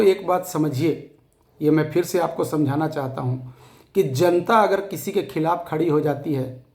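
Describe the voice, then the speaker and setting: A man with a hoarse, scratchy throat giving an impassioned, defiant address to fellow depositors, repeating phrases for emphasis. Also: a little high, darkish tone, roomy sound. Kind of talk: impassioned rallying address to followers.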